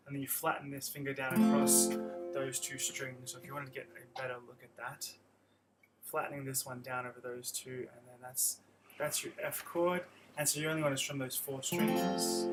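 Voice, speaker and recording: A young man teaching guitar on a live stream, explaining chords in a friendly, rambling way with many restarts. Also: on the high side. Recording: guitar playing behind voice.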